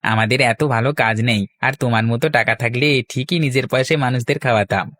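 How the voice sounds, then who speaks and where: A man's voice: medium pitch, fairly even intonation, fast, fairly bright tone, no room sound, close to an everyday voice. A man voicing a cheeky village youth in a cartoon, cajoling and pleading. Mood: insistent. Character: cheeky village youth collecting money for a feast. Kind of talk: Bengali cartoon voice acting.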